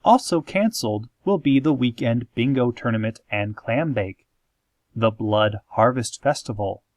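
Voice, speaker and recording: A man playing a calm, formal small-town radio host, narrating a parody horror-comedy show in measured, deadpan phrases with drawn-out dramatic moments. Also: medium pitch, dry recording, studio quality, close to an everyday voice. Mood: calm, ominous deadpan. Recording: music playing behind the voice. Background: soft guitar music.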